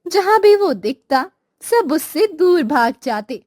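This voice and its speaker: A woman's voice: sits high, big pitch swings, slow, darkish tone, no echo. A woman narrating a scripted cartoon moral story.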